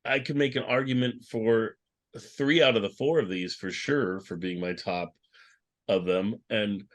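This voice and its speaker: A man with a fairly low voice moderates an informal online panel. He talks in a loose, rambling way, with a joking, teasing manner toward the people he is hosting. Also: steady tone, measured pace. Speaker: podcast host moderating a panel. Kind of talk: casual panel chat. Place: online video call panel.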